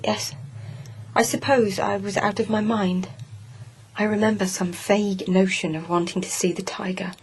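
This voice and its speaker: A woman's voice: medium pitch, level tone, normal speed, a little mellow, a little hiss or hum, close to an everyday voice. An actress playing a troubled, guarded noblewoman in a radio drama, speaking hesitantly and in a subdued way. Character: troubled royal-blooded lady, guarded, fragile.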